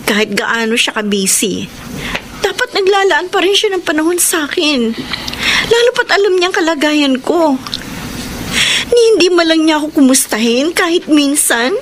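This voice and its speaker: Anxious high-voiced young Filipina. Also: female voice, animated, moderate pace, a bit bright. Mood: hurt. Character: anxious abandoned pregnant young woman awaiting her lover. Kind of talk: Filipino radio melodrama acting. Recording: noisy background ambience.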